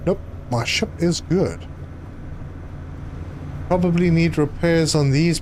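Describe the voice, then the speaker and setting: Male streamer, mid pitch, casual and hesitant, faint game noise behind. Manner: chatty, reads chat aloud, hesitant with fillers. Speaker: gaming live streamer. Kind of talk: casual live stream commentary.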